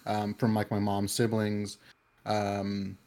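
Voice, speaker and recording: A man's voice: on the low side, completely flat delivery, slow pace, a little mellow. A man from the Bay Area talking casually in an interview, rambling with lots of fillers and restarts. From California Bay Area. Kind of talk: casual conversation.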